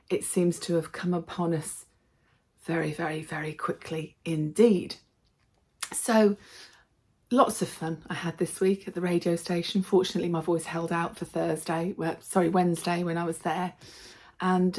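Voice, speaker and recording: A woman vlogger with a slightly hoarse voice from a cold, chatting casually and cheerfully to her viewers. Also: on the low side, darkish tone. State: slightly hoarse, losing her voice from a throat infection. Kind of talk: casual vlog chat to viewers.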